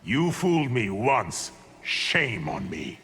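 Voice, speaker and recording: Haughty evil sorcerer, wildly swooping delivery. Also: male voice, mid-range voice, sing-song, creaky, a bit bright, breathy edge, heavily acted. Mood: scornful menace. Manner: haughty, theatrical, deliberate. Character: scheming male evil sorcerer, arrogant and scornful. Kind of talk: video game villain acting. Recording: long hall reverb, music behind.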